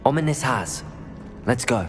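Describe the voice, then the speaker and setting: A man voicing a young student adventurer in a video game, measured, earnest and persuasive. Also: mid-range voice, lively intonation, close to an everyday voice. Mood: reassuring. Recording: game music behind.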